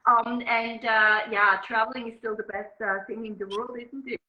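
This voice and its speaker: A woman's voice: fairly high pitch, fairly even intonation, moderate pace. Swiss woman, echoey dull audio. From Switzerland. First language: Swiss German. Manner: thoughtful, with frequent ums and uhs. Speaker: journalist and guest. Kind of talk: interview conversation.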